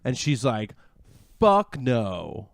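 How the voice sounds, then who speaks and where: A man co-hosting a casual podcast, telling a rambling, halting story. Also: medium pitch, big pitch swings, vocal fry, dry recording, clean studio sound. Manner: rambling, halting, many fillers. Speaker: podcast co-host. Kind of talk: casual chat.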